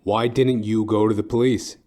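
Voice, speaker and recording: Man acting a deadpan hard-boiled detective. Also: lowish voice, normal speed, lightly acted. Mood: dry, measured. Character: wry, unruffled hard-boiled private detective. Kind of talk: noir detective narration and audio drama acting.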